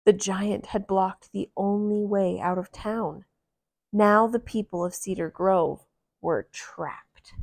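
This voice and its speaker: A woman reading a picture book aloud to young children in a gentle, deliberate manner. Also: mid-range voice, fairly even intonation, creaky. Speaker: children's storybook reader.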